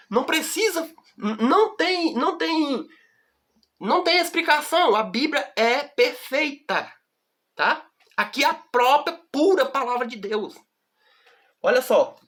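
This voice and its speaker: A Brazilian woman leads a Bible study in a slightly raised voice, taking her time. She reads each verse slowly and with care. She keeps turning to the listener to make sure they follow. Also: high voice, lively intonation, fairly bright tone. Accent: Brazilian Portuguese. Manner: reads scripture slowly and deliberately, often checks in with the listener. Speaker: Bible teacher.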